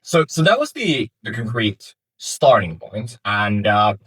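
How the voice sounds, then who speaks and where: A man's voice: on the low side, sing-song, unhurried, a bit bright, slightly rough, no echo, rough recording. A young man from Finland, a startup founder, answering interview questions in a thoughtful way with many fillers and restarts. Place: office in Finland.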